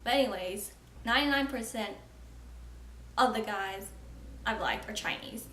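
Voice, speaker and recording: A young woman chats straight to camera in a loose, frank vlog voice, leaning on "like" as she thinks aloud. Her voice sits at an ordinary middle pitch for a woman. The room rings with a noticeable echo, and a faint hum of ambient noise sits underneath. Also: measured pace, fairly bright tone. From United States. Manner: chatty, frank, frequent "like" fillers. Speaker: vlogger. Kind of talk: casual talking-to-camera vlog.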